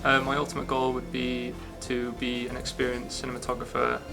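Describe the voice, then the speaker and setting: Young man, flat and gravelly, guitar bed. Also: mid-range voice, brisk. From Wales. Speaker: film apprentice. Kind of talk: interview answers. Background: guitar music.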